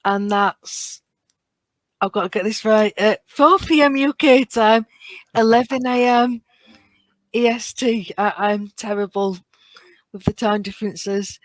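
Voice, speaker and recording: A woman's voice: mid-range voice, measured pace, pauses often, no echo. A woman chatting casually and enthusiastically as a guest on a fan podcast.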